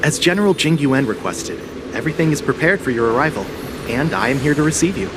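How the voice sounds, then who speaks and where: An actor playing a polite, formal official who receives guests in a video game. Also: male voice, medium pitch, expressive, fast talker, background noise, natural voice. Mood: polite, welcoming. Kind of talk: English game voice acting. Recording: echoey hall with background ambience.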